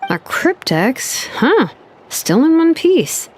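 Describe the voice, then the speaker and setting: A woman voicing a wry young engineer who muses aloud in an animated way. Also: high-pitched, slow pace, full character voice.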